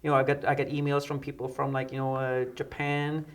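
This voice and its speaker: A man's voice: medium pitch, completely flat delivery, brisk. A middle-aged man from the United Kingdom telling anecdotes as a guest in a conversational interview. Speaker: interview guest, electronic musician. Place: dark indoor studio set, seated.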